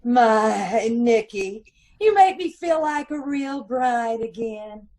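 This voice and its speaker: A woman's voice: on the high side, animated, slow pace, warm tone. A woman playing a witty, affectionate, teasing detective's wife in an old-time radio comedy mystery.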